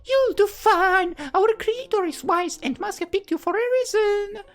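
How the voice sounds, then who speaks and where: A man's voice: extremely high pitch, measured pace, faint music behind, pitched above the performer's own voice. A man voicing a bubbly, teasing anime schoolgirl love interest in a visual novel, chirpy and theatrical. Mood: cheerful, formal.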